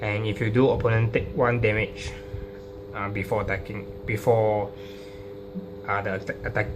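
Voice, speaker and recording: A man informally explaining a card deck, halting and hesitant, often repeating his phrases. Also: fairly low pitch, level tone. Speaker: trading card deck profile presenter. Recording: clearly noisy recording with hum.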